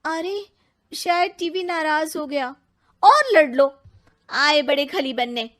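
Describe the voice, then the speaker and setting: A woman's voice: sits high, lively intonation, takes it slowly, fairly bright tone, heavily acted. A woman voicing an astonished, exasperated young housewife in an exclamatory cartoon style. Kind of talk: Hindi cartoon story voice acting.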